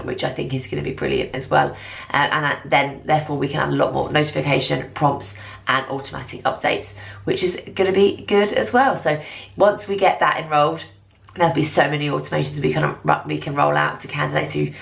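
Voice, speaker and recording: A woman presenting as a software consultant in an informal business webinar, explaining things with enthusiasm. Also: low voice, vocal fry.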